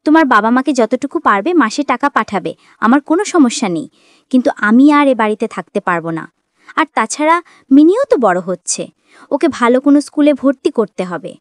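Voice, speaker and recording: A woman plays an arrogant young wife in a moral-story cartoon. Her voice is high and recorded very dry. She scolds and complains, thinking of herself first. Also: lively intonation, a little fast, no room sound, lightly acted. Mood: demanding. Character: arrogant, selfish, sharp-tongued young wife. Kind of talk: dramatized cartoon voice acting.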